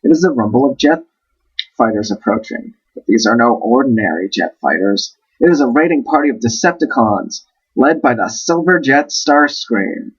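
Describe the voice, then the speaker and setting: A man reads a children's adventure story aloud in a husky voice at a middling pitch. He is playful and drops in wry asides. The recording is a little dull and lo-fi. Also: animated, moderate pace. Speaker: host reading a book aloud.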